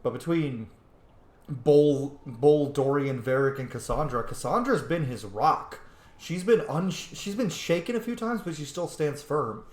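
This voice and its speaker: A man's voice: a little high, animated, measured pace, a little mellow. A man giving casual, reflective commentary as he plays a roleplay video game, talking conversationally. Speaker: gaming video host. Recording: roomy, echoing sound.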